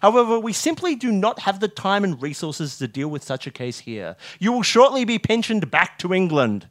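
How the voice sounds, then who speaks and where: Military hospital doctor, male performer: formal, explanatory, tactful comic-story figure with a fairly high voice. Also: sing-song, moderate pace, a bit bright, dry recording, studio quality. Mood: formal, reassuring.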